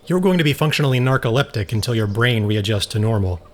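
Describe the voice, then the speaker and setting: A man's voice: mid-range voice, expressive, fast, natural voice. A man acting in an audio drama as a stern, self-controlled scientist, blunt and clinical in manner. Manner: matter-of-fact, firm, clinical. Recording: faint background noise.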